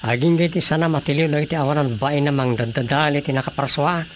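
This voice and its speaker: A man acting an indignant, grumbling villager in an Ilocano-accented radio drama comedy, with a creaky voice and emphatic delivery. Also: a little high, fast talker, a little hiss or hum, natural voice. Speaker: voice actor.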